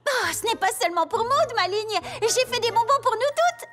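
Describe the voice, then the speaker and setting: A woman voicing an excitable, gushing young female pony in a dubbed children's cartoon, eager and dramatic. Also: very high-pitched, moderate pace, heavy background noise. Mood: insistent, enthusiastic. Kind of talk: animated children's cartoon dub.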